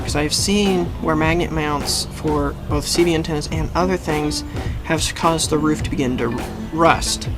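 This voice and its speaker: A man hosting a DIY video, explaining things methodically, step by step, in an instructional style. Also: fairly high pitch. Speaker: host of a DIY how-to channel. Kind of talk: instructional how-to commentary.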